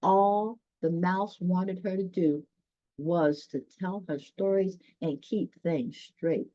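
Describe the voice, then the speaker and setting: A woman reading a children's story aloud, pausing dramatically and chatting directly to her listeners. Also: lowish voice, steady tone, dark tone, dry recording. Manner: dramatic pauses, gasps, talks directly to listeners. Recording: dull, dry lo-fi sound.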